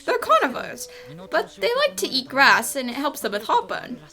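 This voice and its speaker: A high, very animated young female detective played by a woman, eager and inquisitive, with a love of food. The delivery is perky cartoon game dubbing over background music. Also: normal speed. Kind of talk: fan dub game voice acting.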